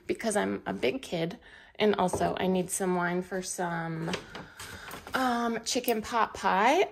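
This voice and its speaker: A woman's voice: mid-range voice, gravelly, slight background noise. A woman chatting casually as she unpacks groceries in her home kitchen, filmed with a handheld camera. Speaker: cooking vlogger. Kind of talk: casual grocery haul chat. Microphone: handheld camera pointed at the counter, speaker off screen.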